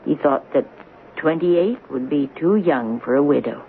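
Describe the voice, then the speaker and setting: An actress playing an elderly, pious and romantic spinster in a 1970s radio drama, speaking precisely and reflectively with gentle firmness. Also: female voice, low voice, expressive, unhurried, creaky, exaggerated voice. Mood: wistful. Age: older. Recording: narrow, noisy old radio broadcast.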